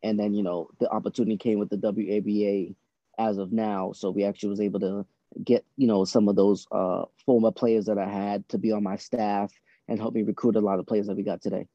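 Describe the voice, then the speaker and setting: Muffled, even-toned man from Boston, interviewed. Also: lowish voice, brisk, dry recording. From born in Trinidad, grew up in Boston from age six. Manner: conversational, frequent "you know". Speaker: basketball coach and team executive, podcast guest. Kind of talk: casual interview answers.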